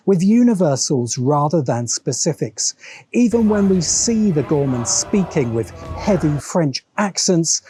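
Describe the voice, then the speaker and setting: A British man narrating a scripted video essay in a measured, emphatic, deliberate way. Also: on the high side, mellow, breathy edge, no room sound. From Britain. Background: background music.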